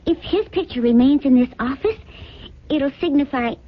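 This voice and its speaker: Naive, devoted heiress: high breathy voice, gushing refinement, muffled vintage radio-drama sound. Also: female voice, lively intonation, moderate pace. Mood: pleading. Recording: dull lo-fi 1970s radio broadcast.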